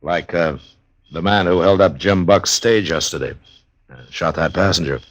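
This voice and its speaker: Deep-voiced, steady frontier marshal. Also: male voice, no room sound. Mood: carefully prodding. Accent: American. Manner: measured, understated. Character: frontier US marshal, steady, probing, world-weary lawman. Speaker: lead actor and narrator in a radio western. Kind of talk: old-time radio western acting and narration.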